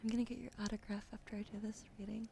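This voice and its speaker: A woman streamer giving a gentle tarot reading, often dropping into a whisper while she greets chat. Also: medium pitch, little pitch movement, racing, background noise.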